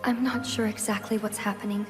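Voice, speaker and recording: A woman playing a gentle, mysterious young woman in a video game, soft and hesitant, in long reverb. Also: mid-range voice, flat delivery, fairly quick, over music, close to an everyday voice. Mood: concerned, willing.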